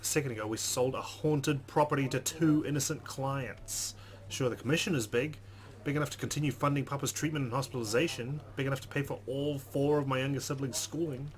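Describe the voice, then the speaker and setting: A man's voice: medium pitch, steady tone, a little fast. A man hosting a let's play, reading game text aloud dramatically and adding wry asides. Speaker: gaming host. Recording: faint background noise.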